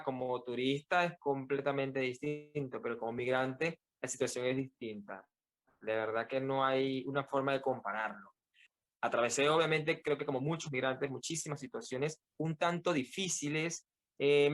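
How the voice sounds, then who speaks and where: A Venezuelan man with a mid-pitched voice gives a personal account as a podcast guest. His accent is Venezuelan. He is reflective and somewhat halting, repeating words while he finds the next thought. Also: steady tone, a bit bright.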